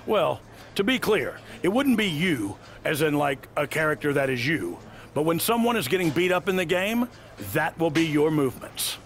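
An actor playing a laid-back male wrestling veteran in a video game cutscene, speaking in a casual, low-key, persuasive way. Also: sing-song, moderate pace, a bit bright.

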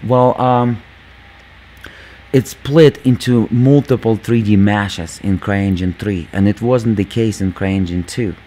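A man hosting a gaming channel, talking casually over gameplay with a squeaky voice, lots of fillers, and calling viewers "guys". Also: slow pace, warm tone. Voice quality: squeaky, just-woken voice. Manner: rambling, many fillers, addresses viewers as guys.

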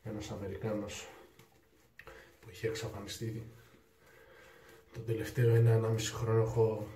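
A young man from Greece chatting unhurriedly while he shaves in a small tiled bathroom, recorded by a camera in front of him. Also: fairly low pitch. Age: young adult. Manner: relaxed, unhurried, with pauses and sighs while shaving. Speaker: shaving vlogger. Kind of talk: casual talk while shaving. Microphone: camera in front at arm's length. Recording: echoey tiled bathroom, faint hiss.

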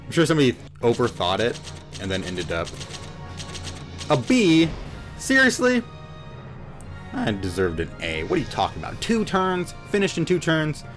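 A man's voice: fairly high pitch, huge pitch swings, moderate pace, pauses often. A man giving joking, sarcastic gameplay commentary as host on a headset. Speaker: let's play host. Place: small room indoors. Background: game music.

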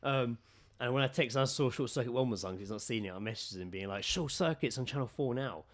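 A man co-hosting a podcast with casual comic banter, telling stories in an animated way and acting out quotes. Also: medium pitch, quick pace. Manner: animated storytelling, acts out quotes in raised voice. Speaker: podcast co-host.

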